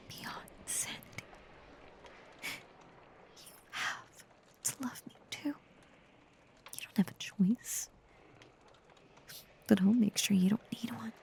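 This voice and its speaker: An obsessive she-werewolf lover, played by a woman, confides in a breathy, often whispered voice with a creaky edge. She speaks very slowly and haltingly, tender yet menacing, in an intimate audio roleplay over faint background noise. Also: mid-range voice, dark tone. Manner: slow, halting, intense confiding delivery.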